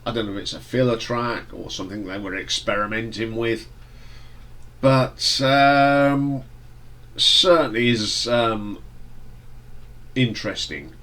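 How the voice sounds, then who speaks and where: A man with a stuffy nose from hay fever, casually reviewing an album in a relaxed, rambling way with fillers and restarts. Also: mid-range voice, slow, pauses often. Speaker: music reviewer.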